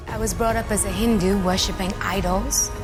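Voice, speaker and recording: A woman's voice: medium pitch, fairly even intonation, normal speed, over music. A woman telling her religious testimony as a wondering story.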